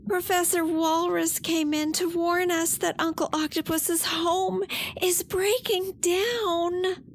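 A very high, childlike voice playing a plucky young octopus in a children's audio story. The delivery is earnest and unhurried, with slow, open-hearted phrasing, over faint background ambience. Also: female voice, steady tone, slight background noise, exaggerated voice. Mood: earnest, worried.

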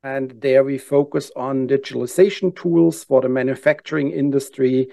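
A man from Germany with a German accent, calmly describing his career as a podcast guest, with frequent "uh" fillers. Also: mid-range voice, normal speed, vocal fry, no room sound, studio quality. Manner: steady and methodical, frequent "uh" fillers. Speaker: guest, founder. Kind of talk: interview answers.

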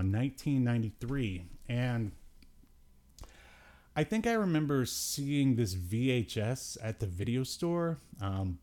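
A man's voice: lowish voice, dark tone. A man in his forties hosting a podcast, chatting casually about films in a rambling way with wry asides. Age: 40s. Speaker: podcast host. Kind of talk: casual film review chat.

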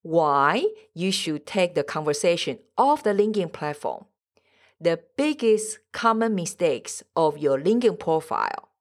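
Lively low-voiced woman podcast host. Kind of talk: podcast intro and interview.

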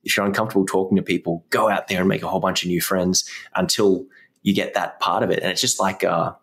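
A man's voice: deep voice, fairly even intonation, quick pace, fairly bright tone, slightly rough. A man hosting a podcast and giving motivational advice in casual interview talk. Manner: motivational, coaching tone. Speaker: podcast host and guitar teacher.

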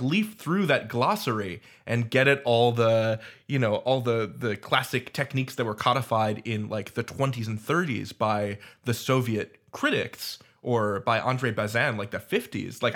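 A man with a medium-pitched voice chats as a roundtable panelist. His phrasing is informal, peppered with scholarly terms. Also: normal speed, few pauses, a bit bright. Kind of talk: casual intellectual discussion.

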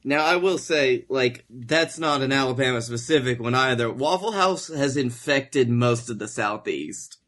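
A man's voice: mid-range voice, unhurried, few pauses, a bit bright. A man bantering casually as a podcast co-host.

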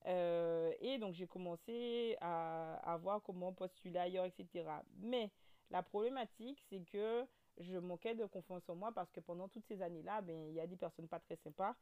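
A woman's voice: on the low side, normal speed, smooth. Chatty Guadeloupean woman in her thirties. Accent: Guadeloupean French. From French overseas territory. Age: 30s. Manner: animated storytelling with many fillers and restarts. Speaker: guest. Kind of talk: casual interview chat.

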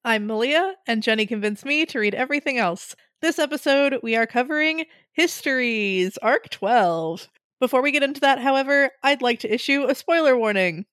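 A woman's voice: sits high, expressive, normal speed, fairly bright tone, no room sound. A young woman co-hosting a casual podcast, easygoing and wry, tossing in dry asides. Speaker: podcast co-host. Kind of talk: casual chat.

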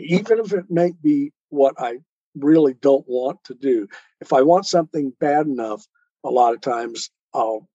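Man, husky and mellow, earnest explainer, conversational interview guest, dull roomy audio. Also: medium pitch. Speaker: guest author. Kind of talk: conversational interview talk on life choices.

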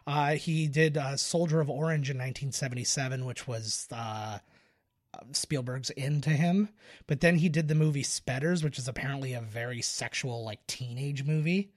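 A man's voice: mid-range voice, normal speed. A man co-hosting a podcast, explaining film trivia in a casual, informative way. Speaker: podcast co-host.

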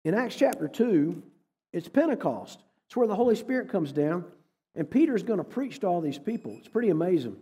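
A man's voice: sits high, big pitch swings, a little fast, mellow, breathy edge. A middle-aged man, telling a story as a guest speaker in a conversational sermon style. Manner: folksy, conversational storytelling with quoted dialogue. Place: church hall. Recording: echoey hall.

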